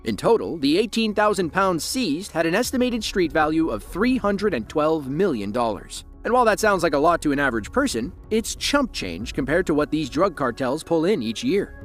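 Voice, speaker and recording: A man giving scripted narration for a police video, with a dramatic, lively delivery. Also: a little high, moderate pace, few pauses, faint music behind.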